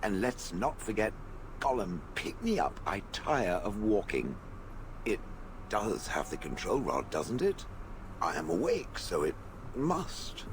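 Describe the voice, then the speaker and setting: An actor playing an ancient, sardonic stone golem in a video game, speaking formally in a contemptuous deadpan. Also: slow pace, crowd noise, heavily acted, rough recording. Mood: sarcastic. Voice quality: deep, gravelly. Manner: haughty deadpan, formal phrasing, addresses people as "it". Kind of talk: video game character acting.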